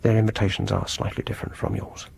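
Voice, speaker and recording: An actor playing a reserved, quietly insistent music lover in a British radio horror drama, speaking with a British accent in a formal, hesitant, measured way. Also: male voice, lowish voice, little pitch movement, gravelly, a little hiss or hum. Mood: hesitant, confessing.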